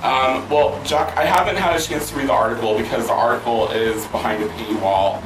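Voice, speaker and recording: A man hosting a vlog, answering viewer questions in casual chat, hoarse with a worn-out voice and rambling with many fillers and restarts. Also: mid-range voice, brisk. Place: outdoors near a pool with loud music. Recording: roomy, mic at a distance, loud music behind.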